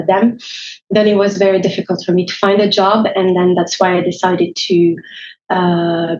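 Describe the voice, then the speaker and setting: Woman from France, academic guest, flat steady voice, hesitant with many fillers, echoey dull recording. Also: on the low side. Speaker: university lecturer, interview guest. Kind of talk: interview answers.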